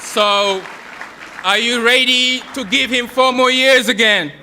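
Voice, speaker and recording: Young Senegalese man, rally speaker, high voice, distant echoey hall, heavy crowd noise. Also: steady tone, slow pace. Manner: rousing, calls out to the crowd. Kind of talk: rally speech. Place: large indoor reception hall. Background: cheering crowd.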